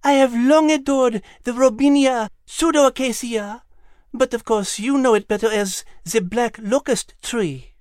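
A woman's voice: a little high, unhurried, darkish tone, no echo, studio quality, close to an everyday voice. A woman voicing a refined, sassy French cat with a prim, elegant manner in a children's audio story. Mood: admiring.

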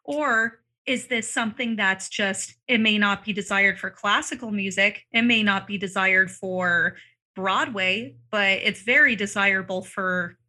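A woman talking casually on a podcast about singing, often saying "like" and "um," her throat a little dry and in need of clearing. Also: mid-range voice, a little slow, pushed and bright. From Arizona, US. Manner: chatty, many fillers like "like" and "um". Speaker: vocal coach guest.

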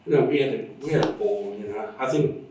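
A man's voice: medium pitch, a little fast, a little hiss or hum. A man who grew up in Zimbabwe, telling long stories as an interview guest with many fillers and restarts. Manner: rambling, warm storytelling with many fillers and restarts. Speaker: interview guest, drone instructor and pilot. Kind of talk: casual conversational interview. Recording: echoey room, voice from a distance.

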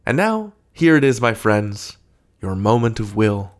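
A man's voice: fairly low pitch, sing-song, a little slow. A man hosting a podcast, reading scripted announcements in a playful, theatrical way with formal flourishes. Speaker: podcast host. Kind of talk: scripted podcast outro with interview.

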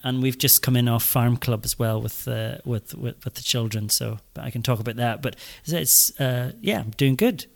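A man's voice: mid-range voice, moderate pace, gravelly, hardly any pauses. A Northern Irish man in his forties, a school head, talking eagerly in a podcast interview with lots of ums and restarts. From Northern Ireland. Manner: enthusiastic, many fillers and restarts.

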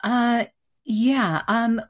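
A woman's voice: on the high side, fairly even intonation, very slow. A woman moderating a panel on a video call, friendly and casual, laughing often.

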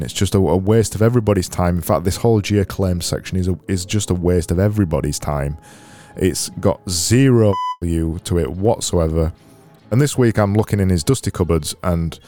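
A man with a Barnsley accent hosting his own podcast, talking alone in a sarcastic, deadpan, self-mocking way. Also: deep voice. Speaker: podcast host. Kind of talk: solo comedic podcast monologue.

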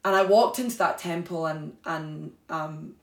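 A woman with a slightly low voice shares her personal story as a podcast guest. She talks in a loose, candid flow, leaning on "like" and "you know". The room around her is echoey. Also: a little slow, creaky. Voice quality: warm, flowing delivery with many "like" and "you know" fillers. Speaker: guest, sacred singer.

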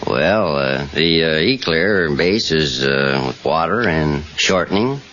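A man's voice: rumbling low, expressive, slow pace, fairly bright tone. A man speaking as a quiz show contestant, giving short, plainspoken answers. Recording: muffled old radio recording, faint noise.

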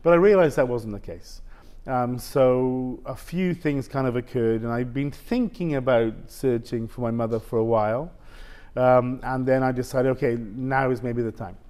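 Halting middle-aged man telling his story. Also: mid-range voice, a little mellow, smooth. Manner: halting, with many restarts and "basically". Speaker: interview guest. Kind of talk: personal story in casual conversation.

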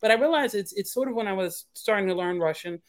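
A woman's voice: medium pitch, animated, fast. A woman from Philadelphia chatting as a podcast guest. She rambles, with many fillers and restarts. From Philadelphia, USA. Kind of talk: casual podcast chat.

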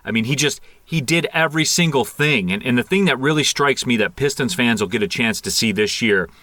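A man giving animated, conversational sports analysis as a guest, repeating phrases for emphasis. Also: medium pitch, fairly quick, no room sound.

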